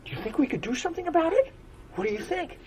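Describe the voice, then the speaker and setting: A man voicing a sad, timid young male unicorn in a told story, speaking high and childlike. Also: big pitch swings, fast talker, a little mellow, a little hiss or hum, rough recording. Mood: eager.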